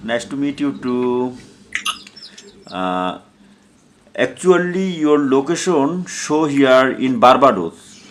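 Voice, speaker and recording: A man with a Bangladeshi accent chatting casually in halting, simple learner's English on an online video call. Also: medium pitch, animated. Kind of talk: casual English practice conversation. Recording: clear background noise, online call.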